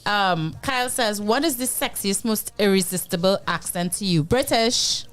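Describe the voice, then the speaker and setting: A woman's voice: medium pitch, normal speed, a bit bright. A woman with a Trinidadian accent co-hosting a casual talk show, reading out viewer comments and laughing often. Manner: giggly, playful, teasing. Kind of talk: casual chat. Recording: noisy with clicks.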